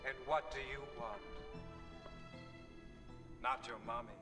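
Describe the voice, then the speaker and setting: An actor playing the sinister, seductive master of a haunted house in a game cutscene, theatrical and insinuating, with dramatic pauses. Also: male voice, medium pitch, steady tone, close to an everyday voice. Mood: sinister, musing. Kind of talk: 1990s adventure game cutscene acting. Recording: long hall reverb, distant, music underneath. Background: music.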